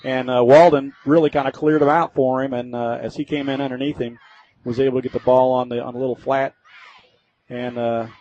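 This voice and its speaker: A man's voice: medium pitch, flat delivery, fairly quick, a little mellow. A man giving casual, folksy color commentary on a football radio broadcast. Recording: slightly dull, lo-fi radio audio.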